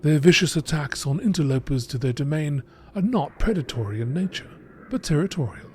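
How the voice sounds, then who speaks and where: Male narrator, nature documentary read, formal and deliberate with lively intonation, faint noise behind. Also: on the high side. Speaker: narrator reading game lore entries.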